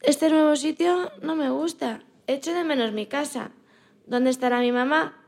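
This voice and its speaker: A woman's voice: high-pitched, normal speed, gravelly, a little mellow. A woman playing a frightened, innocent little female bunny in a children's radio play, with a childlike, naive way of telling her story. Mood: worried, innocent.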